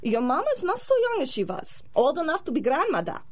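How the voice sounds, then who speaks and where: A woman's voice: sits high, wildly swooping pitch, brisk. A woman playing a stubborn, scolding older immigrant mother in a radio drama, with clipped foreign phrasing. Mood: weary. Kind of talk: 1930s-style radio drama acting.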